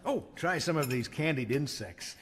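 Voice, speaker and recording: An actor playing a pompous, indulgent older imperial governor in an audio drama, avuncular and blustering in manner. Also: male voice, a little high, expressive, normal speed, long reverb tail, natural voice. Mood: hearty, indulgent.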